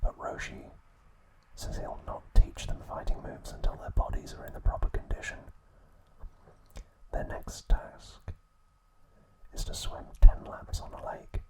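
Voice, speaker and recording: A man narrating scripted ASMR in a breathy, unvoiced whisper, with a measured, soothing delivery. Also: low-quality recording. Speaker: ASMR channel host.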